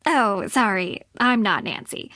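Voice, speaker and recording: A woman's voice: medium pitch, expressive, a little slow, sharp tone, dry recording, clean studio sound. An actress playing a haughty, sarcastic young woman, a detective's pushy rival sidekick, with an American accent in a video game. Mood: sardonic. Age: young adult.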